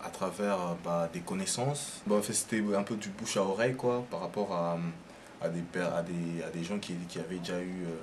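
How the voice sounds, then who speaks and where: A man's voice: deep voice, steady tone, brisk. A nineteen-year-old man giving a testimonial interview, hesitant with many fillers and restarts. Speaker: youth project participant. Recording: noisy background.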